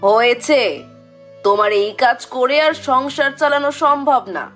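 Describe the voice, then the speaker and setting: A woman's voice: sits high, a little slow, a bit bright, soft background music, pitched above the performer's own voice. A woman voicing a scolding, exasperated village wife in a fairy-tale cartoon. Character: nagging, fed-up village wife.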